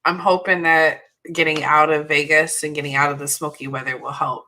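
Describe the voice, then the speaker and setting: A woman chatting casually as a podcast co-host, slightly hoarse from losing her voice, self-deprecating and quick to laugh. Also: deep voice, flat delivery, sharp tone. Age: adult student. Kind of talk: casual chat.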